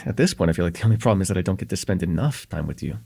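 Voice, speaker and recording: A man playing a shy, smitten young student in an intimate ASMR roleplay, with an earnest, hesitant delivery and a husky, slightly gravelly voice. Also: deep voice, animated, very fast, full character voice. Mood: warm, teasing. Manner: hesitant, earnest, stammering, chuckling softly between phrases.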